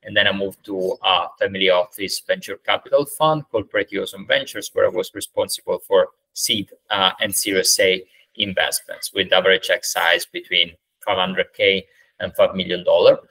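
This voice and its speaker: A man in his thirties from Italy with an Italian accent, answering interview questions over a video call in a measured way, with many ums and uhs. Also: low-pitched, steady tone, sharp tone, poor audio. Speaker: startup founder, guest. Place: indoors, plain room. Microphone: video call webcam.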